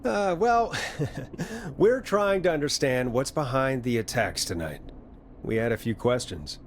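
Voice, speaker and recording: An actor playing a smooth-talking, gentle radio DJ in a video game, with a reassuring, playful way of talking. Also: male voice, mid-range voice, animated, measured pace, slight background noise. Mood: playful, showy.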